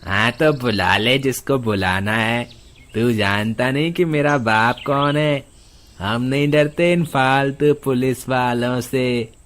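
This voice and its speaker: A man playing a brash, whiny young troublemaker in an animated moral story, with a creaky, exaggerated delivery. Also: medium pitch, slow pace. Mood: defiant.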